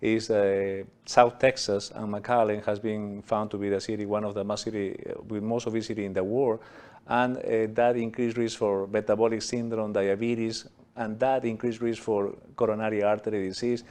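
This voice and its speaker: A man with a Peruvian accent answers questions as a guest on a studio health show. His voice sits on the low side. He pauses often with many uhs as he works through his English. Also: flat delivery, darkish tone. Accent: Peruvian Spanish. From Peru. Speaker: cardiologist guest. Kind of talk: studio interview.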